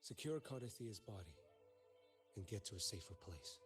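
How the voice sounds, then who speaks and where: A very deep-voiced, stern warrior from a video game, acted by a man. He speaks in short, grave, commanding phrases with a brooding coldness, over faint music. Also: lively intonation, normal speed, close to an everyday voice. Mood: stern resolve. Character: stern, brooding swordsman, a cold avenging warrior.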